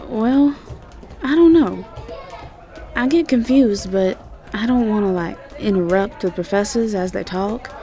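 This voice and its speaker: A woman's voice: mid-range voice, a little slow, warm tone, some chatter behind, natural voice. A woman playing a sweet, ditzy young siren student with a Southern US accent, talking in a hesitant, rambling, casual way for an audio drama. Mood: bubbly, friendly. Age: young adult.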